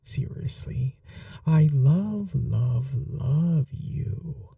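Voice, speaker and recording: A man playing an obsessive, doting kidnapper in an intimate ASMR roleplay, speaking softly and breathily with a halting, coaxing tenderness. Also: mid-range voice, animated, dry recording, clean studio sound, far from the mic, exaggerated voice. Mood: tender, coaxing. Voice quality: soft, breathy, dark. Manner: gentle, halting, coaxing reassurance. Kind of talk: intimate ASMR roleplay, often near whispering.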